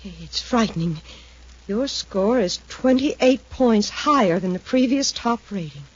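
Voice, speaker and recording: A woman's voice: medium pitch, animated, measured pace. An actress playing an anxious but expert female research assistant in a 1950s radio adventure, precise and earnest. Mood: uneasy, awed. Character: nervous, expert female research assistant in over her head. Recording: muffled 1950s radio recording.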